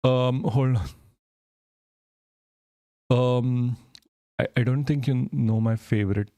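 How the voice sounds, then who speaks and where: Man, live streamer, casual rambling talk, profane and jokey, smooth clear tone, dry close mic. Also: medium pitch, steady tone, slow, mellow, dry recording. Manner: rambling, profane, jokey, reads chat aloud.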